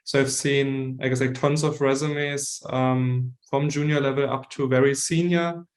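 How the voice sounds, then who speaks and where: A man's voice: mid-range voice, flat delivery, measured pace. A young man with a German accent talks as a guest consultant in an online meeting. His mid-range voice is casual and conversational, with loosely built, hedging sentences. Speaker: guest expert, recruitment consultant. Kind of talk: casual self-introduction to an online audience.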